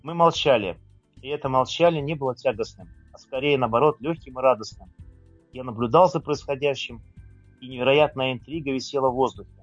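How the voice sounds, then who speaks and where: A man's voice: mid-range voice, a little fast, no room sound, dull audio, soft background music. A man presenting his own writing in an informal talk and reading aloud.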